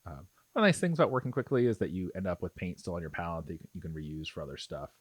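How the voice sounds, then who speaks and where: A man's voice: low-pitched, animated, racing, breathy edge, dry recording. A man giving a casual, step-by-step instructional voice-over in an informal, explanatory way. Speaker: miniature painting tutorial host.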